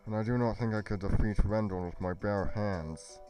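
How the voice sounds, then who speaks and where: A man's voice: fairly low pitch, normal speed, dark tone, soft background music, natural voice, low-quality recording. A man playing a solemn, determined warrior hero in a Minecraft roleplay, speaking in grave, formal heroic phrases. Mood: grave, resolute. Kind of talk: amateur Minecraft roleplay acting.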